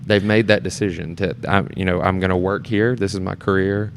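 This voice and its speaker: A man with a deep, low-pitched voice talks as a guest on a podcast. His delivery is informal and earnest, like a relaxed conversation. Background noise is clearly audible in the room. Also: brisk. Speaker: podcast guest, theater performer and board member. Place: shared studio space in a theater.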